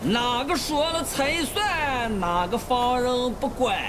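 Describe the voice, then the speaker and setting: A sardonic male bard chants a folk tale for a game. His voice is high and extremely slow, stretched out over loud music. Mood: sardonic, dramatic. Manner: drawn-out, chanted storytelling.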